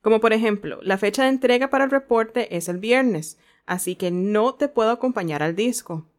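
A woman's voice: fairly high pitch, normal speed. A woman hosting a language lesson, moving between presenting and casual chat.

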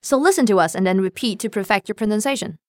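Female co-host with a Cantonese accent, smooth resonant voice, dry studio sound, careful slow model phrases. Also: medium pitch, dry recording. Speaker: language lesson co-host. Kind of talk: scripted language lesson.

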